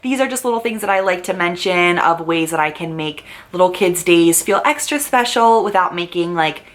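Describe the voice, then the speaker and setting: A young adult woman talking to camera as a vlogger, walking through her prepared notes in an upbeat manner, lifting her pitch as she starts each new point. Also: animated, moderate pace.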